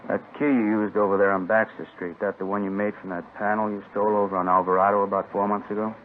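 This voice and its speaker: Brisk, wry radio detective played by a man, low-voiced. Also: flat delivery, lightly acted. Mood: firm, wry. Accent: American. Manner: clipped, matter-of-fact, wry. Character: brisk, dry male police detective. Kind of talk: 1950s radio crime drama acting. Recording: noisy, narrow-band old radio recording.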